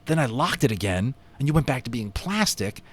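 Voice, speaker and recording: A man's voice: medium pitch, very animated, fairly quick. A man voicing an eager, curious young boy in a storybook, speaking with friendly enthusiasm. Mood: excited. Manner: enthusiastic, earnest.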